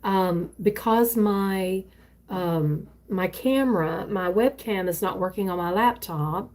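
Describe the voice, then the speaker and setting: A woman's voice: mid-range voice, slow pace, darkish tone. Older woman, a writer reading her stories and chatting live, meandering and hesitant, recorded on a tablet. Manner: rambling, hesitant, many fillers and restarts. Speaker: author and live streamer. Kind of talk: reading own writing and casual live chat. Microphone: tablet used for live streaming.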